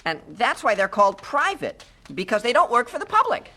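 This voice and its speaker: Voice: mid-range voice, wildly swooping pitch, brisk, sharp tone, soft background music, full character voice. An actor playing a talkative, opinionated know-it-all boy in a 1970s radio drama. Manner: opinionated, explaining.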